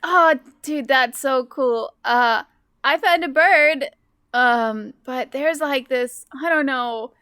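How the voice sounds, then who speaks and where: A woman's voice: high voice, takes it slowly, sharp tone, smooth, no room sound, studio quality, exaggerated voice. A woman voicing an awkward, laid-back dude who rambles hesitantly in a tabletop horror-comedy game. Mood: awkward, puzzled.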